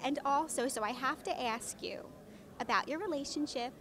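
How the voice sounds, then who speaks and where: High-voiced woman interviewer in a crowded place. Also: moderate pace. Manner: encouraging, informal. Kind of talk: casual on-camera interview. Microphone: handheld interview microphone. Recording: busy chatter in the background.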